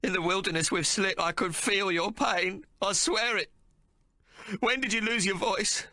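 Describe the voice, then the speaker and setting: A man's voice: sits high, measured pace, pushed and bright, no room sound, voice pushed up, heavily acted. A man voicing a weeping young man, raw and shaken, pleading through sobs. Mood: tearful. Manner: sobbing, broken and pleading.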